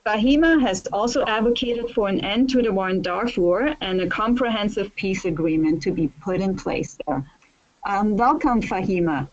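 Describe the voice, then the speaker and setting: A woman moderating a panel, introducing guests in a measured, somewhat hesitant way. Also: mid-range voice, dull audio.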